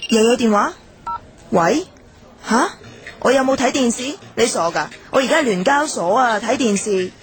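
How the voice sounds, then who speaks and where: Woman acting a blunt impatient businesswoman, fairly high voice, radio drama. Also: lively intonation, moderate pace, lightly acted.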